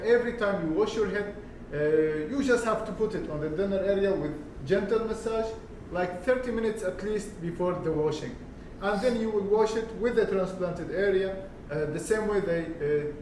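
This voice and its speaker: A man whose first language is Arabic, explaining a hair care product in English, halting, with many fillers and restarts. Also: high voice, a little mellow, slight background noise. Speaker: hair transplant consultant. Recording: echoey room, voice from far off.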